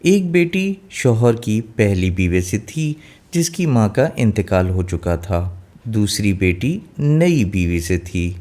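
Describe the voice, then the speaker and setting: A man's voice: on the low side, sing-song, slow, darkish tone. A man narrating a scripted fairy tale as a storyteller.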